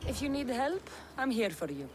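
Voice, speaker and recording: An actress playing a blunt, protective mercenary heroine in a video game, direct and dry in manner. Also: female voice, on the high side, big pitch swings, moderate pace. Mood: wry, friendly. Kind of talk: video game cutscene acting.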